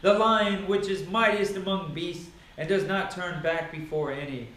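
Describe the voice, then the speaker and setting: Male Bible reader, high voice, solemn and declamatory, deliberate phrasing, very echoey recording. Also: steady tone, fairly bright tone. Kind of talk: dramatic scripture reading. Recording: very echoey, reverberant sound.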